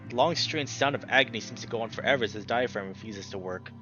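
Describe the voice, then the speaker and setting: A man narrating a visual novel aloud as a let's player, dramatic and expressive, swearing freely in casual reactions. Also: mid-range voice, fast talker, muffled recording. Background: music.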